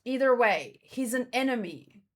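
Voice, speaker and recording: A woman hosting a read-along in a casual live commentary style, with expressive, exclamatory reactions. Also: fairly high pitch, measured pace. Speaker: host of a manga read-along stream.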